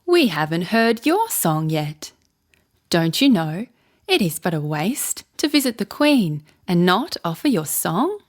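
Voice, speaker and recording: A woman's voice: on the low side, very animated, unhurried. A woman voicing a stern, regal bird Queen with formal phrasing in a children's storybook.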